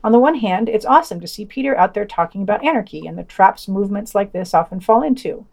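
A woman's voice: mid-range voice, animated, fast talker, gravelly, darkish tone. Woman reading wry scripted podcast reviews. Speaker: podcast presenter reviewing audio and video. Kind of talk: scripted review narration with opinionated asides.

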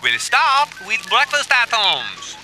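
A man's voice: very high voice, wildly swooping pitch, over music, full character voice, low-quality recording. A man voicing a French language tutor on a cartoon lesson record, with a French accent and instructional delivery. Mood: suave, instructive. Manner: slow, instructional, repetitive.

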